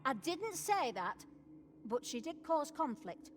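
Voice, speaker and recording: An actress voicing a brusque, suspicious, judgmental kitchen nun in a video game, curt and defensive in manner. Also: female voice, high-pitched, big pitch swings, slow, a little hiss or hum, heavily acted. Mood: disapproving. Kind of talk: voiced video game dialogue.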